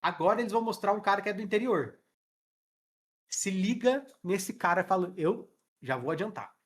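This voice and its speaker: A man's voice: sits high, animated, normal speed. Man, Brazilian accent, English teacher, casual live class, joking slangy talk, headset mic. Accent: Brazilian Portuguese.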